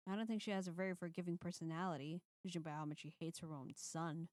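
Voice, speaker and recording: A woman streamer reading a visual novel aloud, expressive, casual and blunt between passages. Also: on the low side, fast talker, a little hiss or hum.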